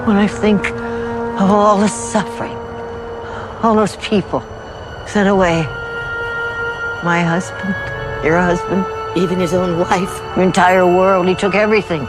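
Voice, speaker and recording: A woman's voice: lowish voice, fairly even intonation, a little slow, pauses often, natural voice, poor audio. An actress playing an older, bitter, resolute mother, grave and aggrieved, in a television drama. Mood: bitter anguish. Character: bitter older mother, resolute against her monstrous son. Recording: film clip with clear background music.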